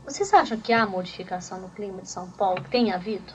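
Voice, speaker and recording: A woman from Minas Gerais interviewing two others in a relaxed group chat, teasing and playful. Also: medium pitch, fast. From Minas Gerais, Brazil. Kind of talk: casual group conversation. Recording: dull lo-fi tape with crowd noise.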